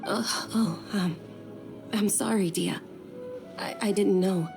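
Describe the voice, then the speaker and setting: A woman playing a caring, earnest young noblewoman in a video game, soft-spoken and polite. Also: medium pitch, slow pace, vocal fry. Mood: flustered, apologetic. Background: background music.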